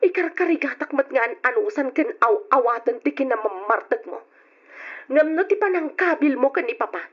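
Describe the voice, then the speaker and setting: Long-suffering young wife acted by a woman, Ilocano accent, high voice, emotional and reproachful, old radio drama on a dull recording. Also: lively intonation, normal speed. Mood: reproachful, pleading. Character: long-suffering adult daughter and wife of a drunkard.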